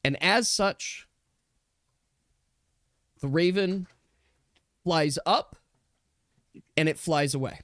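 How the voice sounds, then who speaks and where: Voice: medium pitch, very slow, pauses often, a bit bright, no echo. A game master narrating a tabletop role-playing game in a casual, conversational way.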